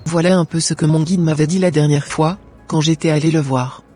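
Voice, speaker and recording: A voice actor playing husky young churchgoer Nadège. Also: female voice, low-pitched, steady tone, a little fast, warm tone, soft background music. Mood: earnest. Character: young woman named Nadège, troubled churchgoer.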